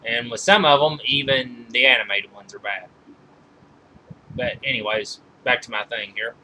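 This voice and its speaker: Very bright-voiced man reviewing, halting delivery. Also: mid-range voice, fairly even intonation, slight background noise, poor audio. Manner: halting, often backtracking and rephrasing mid-sentence. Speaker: video reviewer counting down a list of movies. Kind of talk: informal review commentary from notes.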